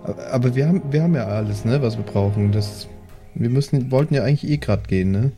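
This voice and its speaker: A man's voice: mid-range voice, quick pace, dark tone, soft background music. A man chatting casually as a player in an improvised tabletop roleplay game. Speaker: role-playing game player.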